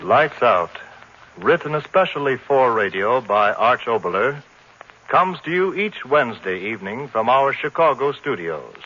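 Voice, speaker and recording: Male 1930s radio announcer, formal dramatic diction, crisp bright projection, vintage muffled sound. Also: mid-range voice, big pitch swings, measured pace. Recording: dull old radio recording.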